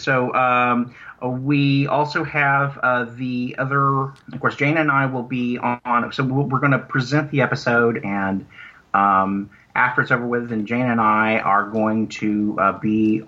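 A man chatting casually as an interview guest, speaking in a halting, rambling way and repeating words. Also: medium pitch, steady tone, pushed and bright, dull audio. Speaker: guest, audio drama producer.

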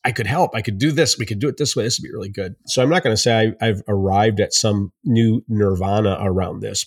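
A man's voice: lowish voice, no echo, studio quality. A man co-hosting a podcast, talking in an earnest, reflective way. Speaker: podcast co-host. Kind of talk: casual conversation.

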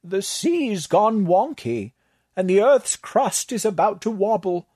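A man's voice: high voice, lively intonation, slow, dark tone, no echo, voice pushed up, full character voice. A man voicing an unflappable alien male librarian in a borrowed body, speaking in a dry, detached way. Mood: deadpan.

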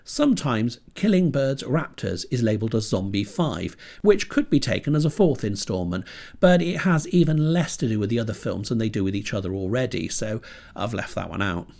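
A man's voice: on the low side, animated, normal speed, gravelly, darkish tone. A British man hosting a horror film podcast with dry, self-deprecating wit, sounding stuffy from a cold. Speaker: podcast host. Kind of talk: scripted podcast monologue. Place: home computer desk.

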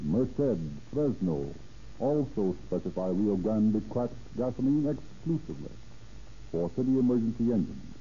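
A man's voice: on the low side, mellow, highs cut off, a little hiss or hum. A man announcing a sponsor message in 1930s radio style, formal and emphatic, on an old radio recording. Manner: formal, emphatic scripted delivery.

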